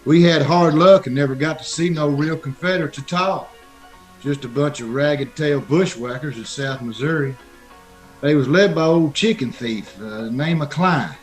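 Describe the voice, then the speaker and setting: A simple, timid American veteran in a radio Western , acted by a man. His voice is fairly high, meek and artless, with halting speech and faint music behind. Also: lightly acted, rough recording. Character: simple, timid, homely Yankee veteran drifter. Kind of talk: old-time radio Western acting.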